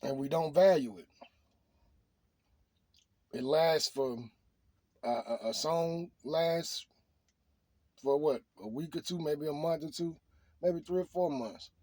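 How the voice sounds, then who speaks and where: Man, husky voice, slightly high pitch, unscripted opinion talk, emphatic and repetitive, dry close sound. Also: slow pace, long pauses, mellow, no room sound. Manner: emphatic, repeats phrases, preachy.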